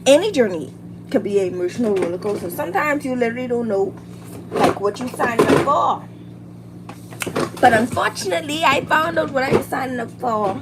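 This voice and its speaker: A Bahamian woman hosts a casual livestream over noticeable background noise. Her voice sits a little high, and she talks in an informal, motivational girl-talk style, repeating phrases to drive them home. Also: animated, fairly quick. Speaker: livestream host, beauty and hair content creator. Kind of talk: casual chat while doing makeup, motivational girl talk.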